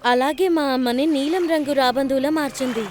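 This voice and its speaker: A woman's voice: sits high, steady tone, fairly quick, a little mellow, a little hiss or hum, natural voice. A woman voicing a kind young mermaid who explains earnestly, in a children's cartoon. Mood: earnest, explaining.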